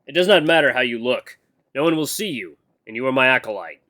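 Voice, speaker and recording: A man's voice: medium pitch, lively intonation, a little fast, sharp tone. A man voicing a stern, socially awkward beast-man mentor who speaks in a stiff, formal, blunt way.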